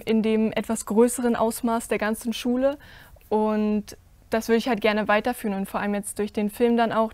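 A teenage girl on a stage panel, answering earnestly as a cast member, with many pauses and fillers. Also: medium pitch, a little mellow, no room sound. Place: stage with curtain, indoors.

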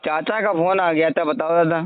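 A man's voice: a little high, steady tone, normal speed. A man talking casually on a phone call, answering briefly in a placating way. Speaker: recipient of a scam call. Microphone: telephone line. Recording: tinny recorded phone call, band-limited.

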